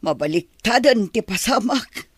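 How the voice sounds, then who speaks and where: An actor with a Filipino accent playing an envious, complaining poor villager in a radio drama. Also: male voice, high voice, lively intonation, unhurried, slightly rough, dry recording, full character voice. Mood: grumbling, envious. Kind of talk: Ilocano radio drama acting.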